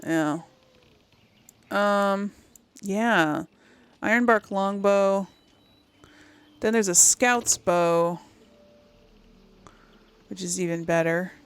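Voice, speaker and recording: A woman's voice: mid-range voice, very slow, pauses often, clean tone. A woman giving casual commentary as she plays a game, thinking aloud in a musing way. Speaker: gamer, series host.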